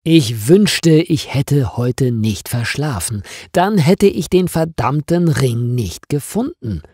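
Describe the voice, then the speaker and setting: A man's voice: medium pitch, lively intonation, slow pace, a little mellow, husky, dry recording, clean studio sound, full character voice. A man voicing an exasperated, puzzled female office worker in emphatic storybook dialogue. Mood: embarrassed, regretful.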